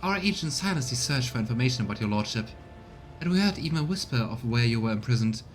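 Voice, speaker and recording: A man voicing a deferential high factotum in a video game, reporting gravely in courtly, measured language. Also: lively intonation, faint music behind, natural voice. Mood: dutiful.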